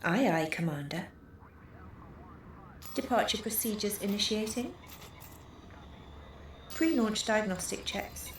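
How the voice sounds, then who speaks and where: A woman's voice: medium pitch, expressive, slow pace, long pauses. Echoey female spaceship computer, acted by a woman. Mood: efficient. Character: female spaceship computer assistant, obedient, efficient. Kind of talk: scripted game voice prompts. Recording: echoey game audio, noisy ship ambience.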